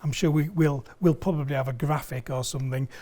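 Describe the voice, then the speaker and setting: Higher-pitched, mellow man explaining a product. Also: brisk. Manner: explains with everyday analogies, emphatic repetition. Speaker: loudspeaker company sales director, guest. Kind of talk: enthusiastic product explanation in conversation. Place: video studio.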